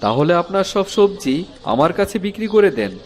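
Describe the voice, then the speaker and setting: A man's voice: high-pitched, big pitch swings, fairly quick. A man voicing a plain-spoken village farmer and vegetable trader in a cartoon, with a rural eastern Bengali accent. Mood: assured. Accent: rural eastern Bengali dialect. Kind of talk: cartoon story acting.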